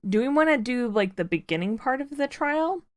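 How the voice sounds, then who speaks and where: A young woman hosting a game stream, talking loudly with friends in a chatty, laugh-filled way. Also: high-pitched, lively intonation, a little fast, no echo. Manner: loud, laughs a lot, chatty. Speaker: streamer host.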